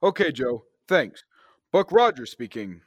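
A young man playing an earnest, excitable space captain in a 1930s-style radio serial, exclamatory and emphatic. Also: medium pitch, a little slow, dry recording. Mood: brisk. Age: young adult.

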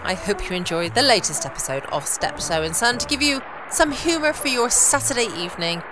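A woman's voice: on the high side, expressive, a little fast, low-quality recording. A woman, speaking as a podcast host in a chatty style. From United Kingdom. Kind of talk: podcast sign-off chat. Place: at home. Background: music.

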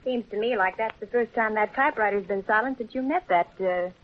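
A woman's voice: mid-range voice, brisk, natural voice. An actress playing a wisecracking, arch female friend who teases, in a 1930s radio drama. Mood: teasing. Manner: teasing, arch. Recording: muffled, hissy 1930s radio.

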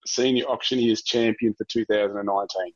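A man's voice: lowish voice, steady tone, brisk, no echo, highs cut off. A man with an Australian accent chatting casually as an interview guest, answering questions. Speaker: guest, real estate director and auctioneer.

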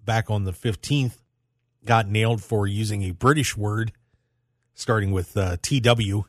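A man with a fairly low voice hosts a casual sports talk radio show. He speaks conversationally to his listeners, and the sound is dry and close, like a studio. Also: steady tone, a little slow, no echo.

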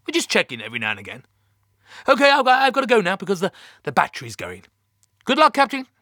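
A man voicing a brisk space mission boss in a story, falsely cheery, patronising and evasive, with hesitant wheedling. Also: high-pitched, huge pitch swings, pushed and bright, no room sound, studio quality, pitched above the performer's own voice, full character voice. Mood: brisk, dismissive.

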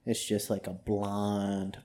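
A man's voice: fairly low pitch, monotone, slow, dark tone. A young man in a casual chat with friends, joking around and prompting the others to do accents.

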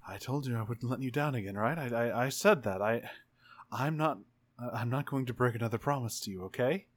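A man playing an anxious, fiercely loyal warrior woman in a tabletop game, speaking haltingly and urgently and repeating words. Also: mid-range voice, lightly acted. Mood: desperate, pleading.

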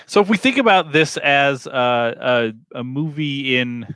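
A man's voice: medium pitch, animated, measured pace, a bit bright, smooth, dry recording. A man hosting a casual film discussion, talking informally and loosely, rambling his way into questions and points. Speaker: discussion host.

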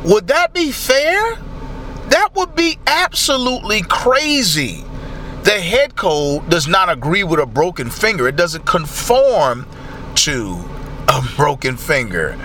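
Male preacher; slow and lively, bright tone, faint traffic behind. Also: sits high. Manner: slow, emphatic preaching with rising exclamations. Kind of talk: sermon.

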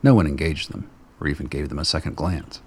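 A man narrating a radio play in a measured, descriptive storytelling manner. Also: extremely low pitch, darkish tone, slightly rough. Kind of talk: scripted narration.

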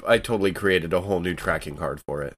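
A man's voice: deep voice, quick pace, a bit bright. A man talking casually as a player in a tabletop game, thinking aloud as he describes his moves. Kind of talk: casual improvised game talk.

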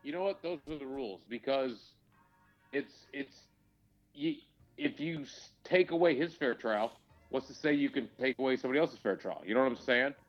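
A man's voice: mid-range voice, normal speed, muffled recording, soft background music. A man co-hosting a casual talk show, giving his opinions in a blunt, emphatic way full of swearing. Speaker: podcast co-host. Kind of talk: casual, heated opinion chat.

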